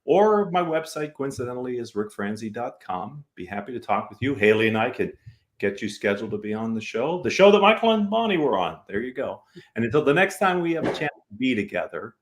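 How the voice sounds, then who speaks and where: A man's voice: medium pitch, big pitch swings, fairly quick. A man with an American accent hosting a conversational business interview, speaking in an affirming, complimentary way to his guests. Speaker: talk show host.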